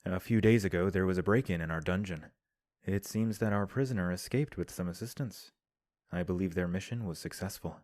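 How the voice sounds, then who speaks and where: A man voicing a composed young prince in an audio drama, speaking in a low, mellow tone with calm, measured formality. The sound is dry and close, with no room around it. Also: no room sound, natural voice. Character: composed young male prince giving counsel. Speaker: voice actor. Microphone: home recording.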